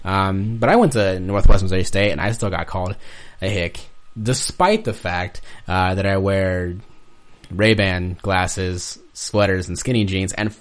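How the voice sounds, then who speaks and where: A young man from Iowa hosts a laid-back podcast, rambling and joking with plenty of fillers. His voice sits very low. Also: unhurried. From Southwest Iowa. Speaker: podcast host. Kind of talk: casual chat.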